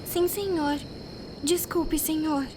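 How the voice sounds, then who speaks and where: A woman's voice: high-pitched, slow pace, darkish tone, slight background noise. A woman playing a meek, apologetic orphan girl of about ten in an audio drama, speaking hesitantly. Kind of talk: acted audio drama dialogue.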